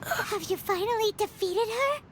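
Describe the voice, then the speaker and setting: An actress playing a tiny, childlike female sprite in a video game, anxious and excitable, with a squeaky voice. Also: measured pace, noisy background. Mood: alarmed, anxious. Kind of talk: cartoonish video game voice acting.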